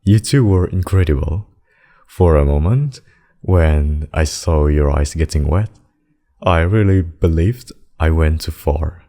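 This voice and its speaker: A man voicing a very deep, unhurried demon king. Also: mellow. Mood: tender, concerned. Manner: slow, deliberate, intimate addresses with pet names. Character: possessive demon king, menacing abductor turned tender secret lover. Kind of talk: scripted boyfriend ASMR roleplay.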